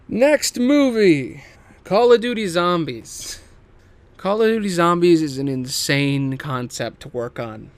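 A man hosting solo in a casual, unscripted ramble, swearing freely and leaning excitedly on his words. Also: on the high side, dry recording. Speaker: host.

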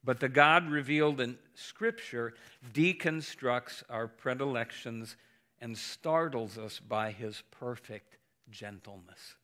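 A man preaching as pastor in a measured, earnest way, then interviewing a guest. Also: medium pitch, pushed and bright. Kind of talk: sermon and interview.

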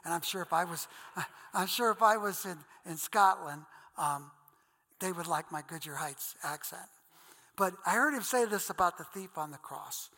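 A man's voice: sits high, moderate pace, bright, forceful tone, in a large hall. A man with an Ohio accent preaching a sermon in an earnest, conversational way, calling his listeners guys and reading Scripture aloud. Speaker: pastor.

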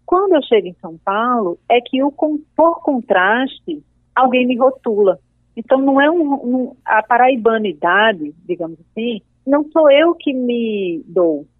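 A woman's voice: on the high side, animated, measured pace, no echo. A young woman from Paraíba with a Northeastern Brazilian accent, speaking thoughtfully as an interview guest and building careful arguments. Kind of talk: reflective conversation. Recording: dry tinny phone-like call audio.

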